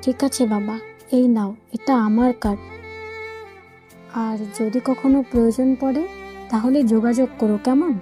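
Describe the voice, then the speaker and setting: A woman playing a kindly, hospitable middle-aged auntie in an audio story, coaxing gently like a mother. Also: fairly high pitch, fairly even intonation, normal speed, long pauses, close to an everyday voice. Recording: music under the voice.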